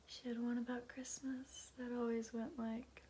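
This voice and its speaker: A woman doing ASMR in a soft, breathy whisper, chatting and telling bedtime stories in a hushed, musing way. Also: on the high side, little pitch movement, moderate pace, slight background noise. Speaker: ASMR host.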